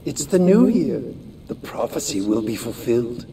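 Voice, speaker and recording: An actor playing a gleeful, theatrical doomsday prophet, a fanatical villain in a video game. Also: male voice, mid-range voice, very animated, normal speed, mellow, far from the mic, a little hiss or hum, lightly acted. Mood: gleeful menace. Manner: theatrical, portentous.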